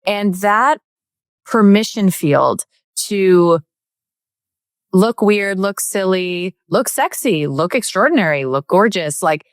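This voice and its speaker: A woman's voice: mid-range voice, animated, slow pace, no echo, studio quality. A middle-aged woman hosting a podcast interview, talking in an effusive, rambling way full of "like" and "right". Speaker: podcast host. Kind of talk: interview introduction.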